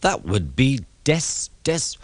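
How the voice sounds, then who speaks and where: A man's voice: mid-range voice, sing-song, slow, dry recording, pitched above the performer's own voice. A man voicing haughty, easily offended steam engines in a children's storybook, pompous and emphatic. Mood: stern.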